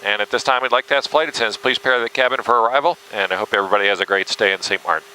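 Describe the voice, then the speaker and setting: A male airline captain makes cabin announcements in a lowish, forceful voice with frequent hesitations. He speaks quickly over the PA, with faint aircraft noise behind him. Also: low-quality recording.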